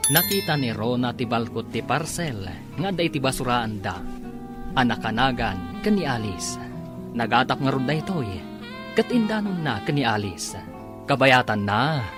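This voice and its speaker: A man with an Ilocano accent narrating a radio drama as announcer, in a theatrical, emphatic scripted style. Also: medium pitch.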